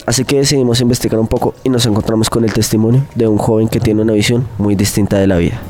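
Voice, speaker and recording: A man's voice: lowish voice, fairly even intonation, quick pace, a little mellow, faint music behind. A young man with a Colombian accent reading a scripted first-person story for student radio. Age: teens to young adult.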